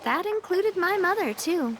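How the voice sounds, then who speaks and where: A woman's voice: very high voice, animated, unhurried, a bit bright, a little hiss or hum, full character voice. A woman playing a resilient, reflective young female leader in a video game, speaking in a conversational storytelling way. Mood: wry, candid. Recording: music behind.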